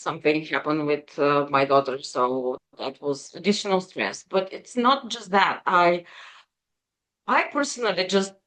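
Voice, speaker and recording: Slow, low-voiced woman with Bulgarian accent. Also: a bit bright. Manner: hesitant, many pauses and fillers. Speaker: guest. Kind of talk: interview conversation.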